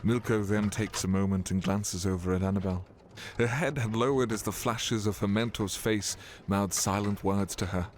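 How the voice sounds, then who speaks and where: A man's voice: lowish voice, fairly even intonation, normal speed, slight background noise. A man reading scripted narration for a fantasy audio drama as its storyteller.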